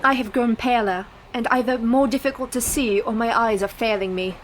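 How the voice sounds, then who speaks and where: High-voiced, earnest Victorian heroine played by a woman. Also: moderate pace, a little hiss or hum, natural voice, rough recording. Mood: wistful. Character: Victorian wife under vampire's curse, entranced, brave. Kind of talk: radio play acting.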